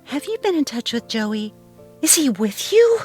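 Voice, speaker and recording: An anxious, emotional middle-aged mother played by a woman reader in a dramatic audio story. Her voice is pitched up from the reader's usual low register, with music behind her. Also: very animated, a little slow, darkish tone, slightly rough, exaggerated voice. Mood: excited, conflicted. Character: worried, resentful middle-aged mother Elle.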